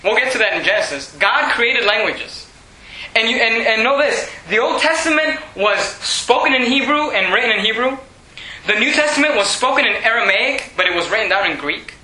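A man from Venezuela, whose first language is Spanish, preaches with a high, very bright voice. He drives his points with repeated rhetorical questions and restarts his sentences often. The sound is roomy and echoey, with a faint hiss underneath. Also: expressive, moderate pace. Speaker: pastor. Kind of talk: sermon. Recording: echoey room with faint noise.

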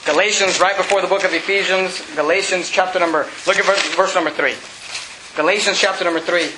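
A Venezuelan-born man preaching an emphatic sermon as pastor, shouting his points and repeating verse references in a church with the congregation answering. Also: high voice, steady tone, fairly quick, noisy background. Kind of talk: fiery evangelical sermon. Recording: echoey church with congregation responses.

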